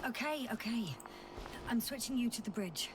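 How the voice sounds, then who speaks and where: A woman's voice: fairly high pitch, moderate pace, noisy background, natural voice. An actress playing a level-headed, wry female scientist in a sci-fi video game, speaking frankly and with reason. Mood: tense, downcast.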